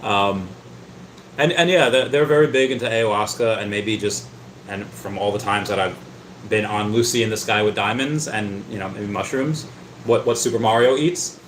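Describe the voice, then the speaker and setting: A man's voice: lowish voice, moderate pace, a little hiss or hum. A man hosting a casual livestream, reading out chat comments and rambling, often stammering and repeating his words. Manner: rambling, talkative. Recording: roomy echo.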